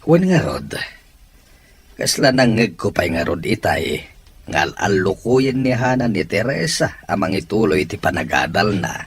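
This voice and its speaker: A gentle fatherly husband. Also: on the low side, big pitch swings, a little slow, husky, exaggerated voice. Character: kindly middle-aged father of grown daughter. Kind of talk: radio drama acting.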